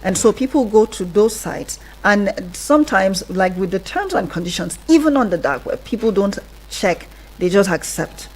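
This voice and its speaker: A Nigerian woman hosting a conversational radio interview, phrasing things hesitantly and then in quicker bursts. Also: mid-range voice, expressive, darkish tone, low-quality recording. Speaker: radio host. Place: radio studio.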